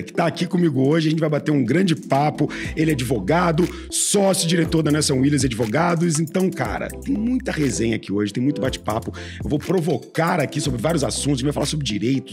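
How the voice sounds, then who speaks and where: A Brazilian man hosting a podcast, enthusiastic and friendly as he introduces and questions his guest. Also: fairly high pitch. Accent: Brazilian Portuguese. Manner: friendly, enthusiastic, drawn-out emphasis on intro phrases. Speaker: podcast host. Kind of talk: casual podcast interview.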